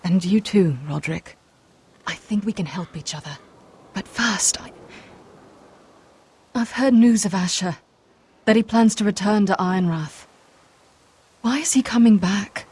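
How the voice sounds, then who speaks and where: A woman voice acting a guarded, earnest young noblewoman in a fantasy game drama, speaking in grave, measured, formal phrases. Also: mid-range voice, darkish tone, breathy edge. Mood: guarded, soft, then earnest, pleading.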